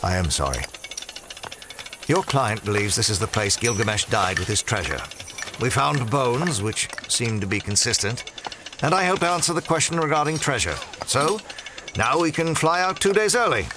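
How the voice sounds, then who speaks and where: An actor playing a cocky, flippant adventurer-archaeologist in an audio drama, with a breezy, teasing, self-assured way of talking. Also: male voice, mid-range voice, animated, normal speed, noisy background, lightly acted. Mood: smug. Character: cocky, flippant male adventurer-archaeologist, wry skeptic of legends. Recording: loud cinematic music behind the voice.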